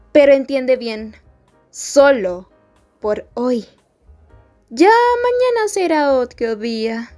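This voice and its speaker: Woman voicing a mean high school bully girl, high voice, super slow scornful drawl, asmr roleplay. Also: darkish tone. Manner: taunting, scornful, drawn-out delivery. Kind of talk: Spanish ASMR roleplay acting.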